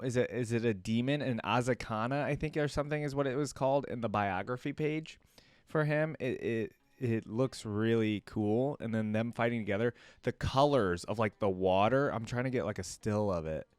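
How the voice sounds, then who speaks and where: A man's voice: mid-range voice, moderate pace, a little mellow, clear, resonant tone, no echo. A man hosting a reaction video, commenting casually in informal, slangy fan talk.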